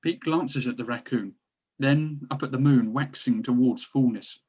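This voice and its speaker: A man's voice: medium pitch, normal speed, a little mellow, husky. A man narrating stage directions from a drama script, recorded on dull, lo-fi audio.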